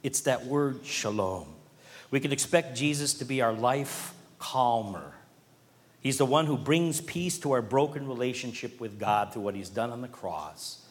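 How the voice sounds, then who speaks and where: A man preaching a sermon, swelling to emphatic points and dropping to quiet, earnest asides. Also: medium pitch, expressive, unhurried, in a large hall. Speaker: pastor.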